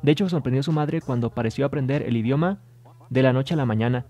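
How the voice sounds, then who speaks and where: A man's voice: medium pitch, fast, warm tone. A man hosting a scripted entertainment news show, reading reports and translated quotes in an upbeat announcer manner.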